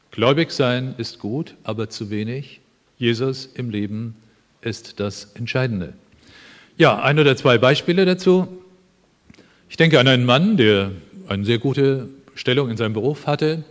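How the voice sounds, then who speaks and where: Emphatic man preaching in a reverberant church. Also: mid-range voice. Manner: earnest, emphatic, with dry asides. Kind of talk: sermon. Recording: long hall reverb.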